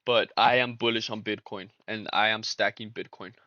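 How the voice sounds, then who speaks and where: A young man chats loosely with his live-stream audience as he trades, calling them boys and brother in easygoing slang. His voice is bright and forward. The mic sounds close and dry, a little dull. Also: lowish voice, steady tone, normal speed, no echo. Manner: slangy, laid-back, talks to chat as boys and brother. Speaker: livestream trader and host. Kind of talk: casual live-stream chat while trading.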